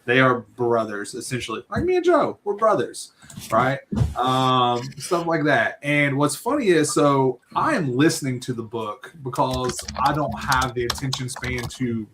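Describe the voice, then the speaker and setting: A man's voice: mid-range voice, sing-song, measured pace, a bit bright. A man talks loosely and at length, looping back with little check-ins as he goes. His voice sits in an ordinary middle range. A faint hiss hangs behind him. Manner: rambling, many fillers and "right?" tags. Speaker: podcast co-host. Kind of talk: casual book-club chat.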